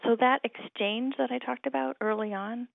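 Gravelly woman on a phone line. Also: fairly high pitch, fairly even intonation, normal speed, no echo. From Hawaii. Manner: articulate, thoughtful, with repeated starts. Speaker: writer and guest. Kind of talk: reflective radio conversation.

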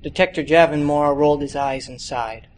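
A young man reads a detective story aloud in a narrating style. His voice is a little higher than most men's. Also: steady tone, moderate pace, slight background noise. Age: young adult. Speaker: student reading a short story aloud.

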